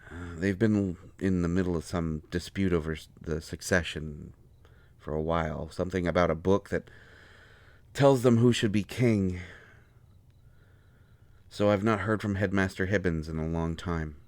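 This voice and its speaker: A man playing a proud, hurt, lovestruck healer in an intimate audio roleplay, speaking earnestly and haltingly with deep feeling. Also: darkish tone, dry recording. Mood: weary, explaining. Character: proud, wounded, lovelorn male healer with a limp.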